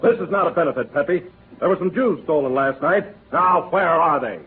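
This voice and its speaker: A man's voice: fairly high pitch, brisk, natural voice. Male actor as a stiff, officious police inspector; tinny old radio with faint hiss. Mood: warning. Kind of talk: 1940s radio comedy acting.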